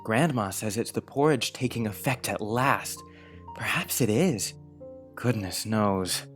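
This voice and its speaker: A woman's voice: very deep voice, animated, unhurried, faint music behind. A woman playing a polite, thoughtful young boy in an audiobook drama, careful, frank and earnest. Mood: frank delight. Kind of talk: scripted audiobook drama acting.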